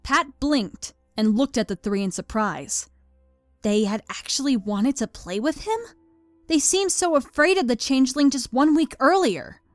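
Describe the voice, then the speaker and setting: A woman's voice: high-pitched, expressive, measured pace, no room sound, faint music behind. A woman reading scripted story narration as the narrator of a fan fiction audio drama.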